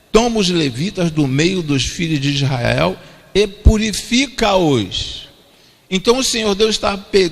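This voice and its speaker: Gravelly Brazilian pastor in echoing church. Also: male voice, sits high, a bit bright. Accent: Brazilian Portuguese. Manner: repeats each point twice for emphasis. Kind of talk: expository sermon. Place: church sanctuary. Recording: long church-hall reverb.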